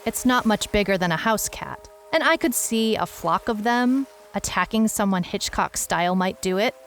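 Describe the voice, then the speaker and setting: A woman's voice: medium pitch, lively intonation, normal speed, a little hiss or hum, natural voice. An actress playing a wry, skeptical private detective, narrating her mystery story in a dry, musing first-person way. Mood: puzzled, uneasy. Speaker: narrator and lead character of an audio mystery serial.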